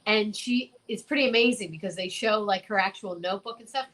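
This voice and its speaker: A woman's voice: mid-range voice, brisk, a bit bright. A woman co-hosting a casual talk show, chatting in a gushing, emphatic way and often repeating herself. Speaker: co-host of a comedy talk show. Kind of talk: casual chat.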